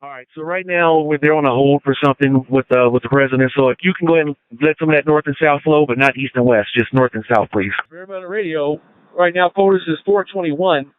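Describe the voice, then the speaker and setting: A man's voice: on the high side, fast talker, clear, resonant tone, no echo, phone-quality sound. American policeman on band-limited radio. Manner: plain-spoken, instructive. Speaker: police officer directing units. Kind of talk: operational radio dispatch talk.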